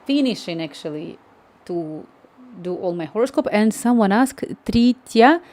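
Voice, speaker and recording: Softly mellow woman coding live. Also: medium pitch, lively intonation, unhurried. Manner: thinking aloud, reading chat, many restarts. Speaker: coding streamer. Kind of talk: live-stream coding chat.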